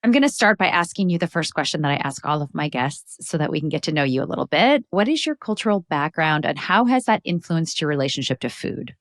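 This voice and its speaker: A woman's voice: low-pitched, animated, quick pace, hardly any pauses, dry recording, clean studio sound. A woman hosting a podcast, inviting and attentive, asking questions and giving encouraging murmurs. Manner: warm, encouraging listener, many "mm" backchannels. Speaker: podcast host.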